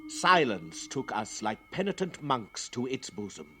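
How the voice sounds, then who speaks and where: A man's voice: medium pitch, very animated, unhurried, sharp tone, soft background music. A man acting as an awed spaceship crewman, giving grand, lyrical and solemn narration in a radio drama. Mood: grand dramatic. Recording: faintly noisy 1960s radio recording.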